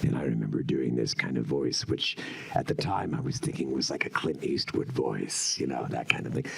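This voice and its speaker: A man voicing an ominous, shadowy cartoon tough guy with a slow, menacing delivery. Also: very deep voice, gravelly, breathy edge, pitched below the performer's own voice, exaggerated voice.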